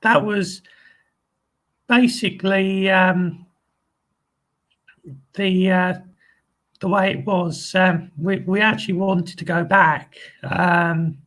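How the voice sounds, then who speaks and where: Chatty vlogger man, hesitant delivery full of ums, takes his time, pitched fairly high. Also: level tone, slow pace, pauses often, fairly bright tone, low-quality recording. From England. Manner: hesitant, many ums and restarts; rambling, repeats phrases like "really nice". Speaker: channel host. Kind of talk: casual live video chat.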